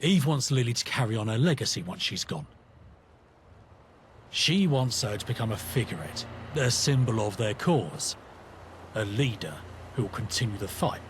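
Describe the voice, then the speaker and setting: An actor playing a conflicted, earnest British rebel in a video game, talking in a matey, reflective, colloquial way. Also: male voice, mid-range voice, slight background noise, close to an everyday voice. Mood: confiding, serious. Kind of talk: scripted video game companion dialogue.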